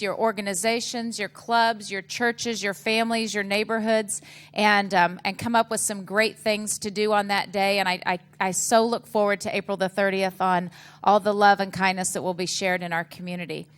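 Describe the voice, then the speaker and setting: A woman with a mid-pitched voice hosts a community breakfast. She makes upbeat announcements with frequent ums. She thanks the speakers and wraps up the event. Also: steady tone, normal speed, fairly bright tone. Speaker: event host. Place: community breakfast meeting room.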